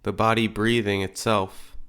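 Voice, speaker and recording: A man leading a guided meditation as a teacher, speaking in gentle, unhurried phrases with pauses. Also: lowish voice, level tone, clean tone.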